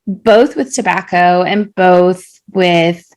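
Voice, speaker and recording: A woman's voice: fairly low pitch, slow, darkish tone. A middle-aged woman, an educator and writer, co-hosting a podcast in casual chat, enthusiastic and often repeating her phrases.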